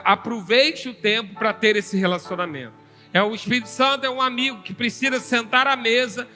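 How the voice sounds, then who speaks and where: Bright high-voiced male preacher. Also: big-room sound. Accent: Brazilian Portuguese. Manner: teaching, calling for "amém" from the congregation. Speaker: pastor teaching a seminar. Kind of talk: sermon.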